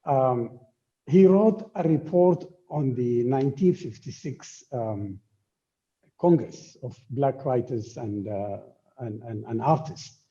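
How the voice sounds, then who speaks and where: A man speaking informally and unscripted on a panel, halting and repeating himself as he searches for words. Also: medium pitch, dark tone. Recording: dull, muffled online call.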